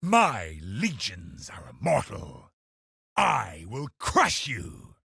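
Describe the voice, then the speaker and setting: Gravelly, zealous crusader villain. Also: male voice, lowish voice, wildly swooping pitch, extremely slow, sharp tone, no room sound, clean studio sound, exaggerated voice. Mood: cold menace. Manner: haughty, imperious, menacing, declamatory. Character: zealous, cruel, commanding male military crusader villain. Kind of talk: theatrical video game boss barks.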